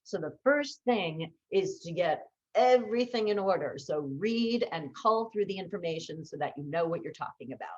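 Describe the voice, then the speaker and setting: Low-pitched emphatic woman coach, dull recording. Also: animated, normal speed. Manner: emphatic, repeats key words for stress; conversational, asks rhetorical questions. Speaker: voice-over coach. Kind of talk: instructional talk with tips.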